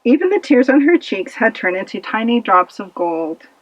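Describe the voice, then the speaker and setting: A woman narrating a children's puppet-show fable with expressive, dramatic delivery, in a slightly muffled recording. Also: on the high side, normal speed, no echo. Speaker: storyteller.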